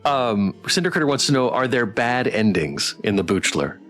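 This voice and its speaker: Mid-pitched man hosting, music behind. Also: very animated, moderate pace, fairly bright tone. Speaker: interviewer and stream host. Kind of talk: casual livestream interview.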